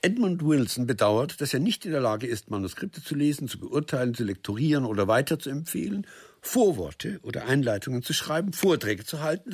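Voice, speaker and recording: Husky man reading in a dry studio. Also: fairly high pitch, no echo. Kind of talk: scripted literary reading.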